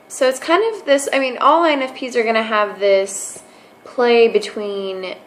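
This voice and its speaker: Woman, young adult, hoarse, casual vlog monologue, rambling, midrange pitch. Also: a bit bright, a little hiss or hum, rough recording. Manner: musing, lots of fillers and restarts. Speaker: vlogger.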